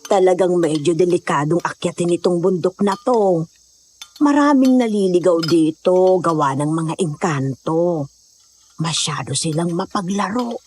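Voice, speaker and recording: Woman playing low-voiced, hospitable older mountain woman. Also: measured pace, darkish tone, husky. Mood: grave, knowing. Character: hospitable older mountain woman, motherly, faintly eerie storyteller. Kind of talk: Tagalog horror audio drama acting.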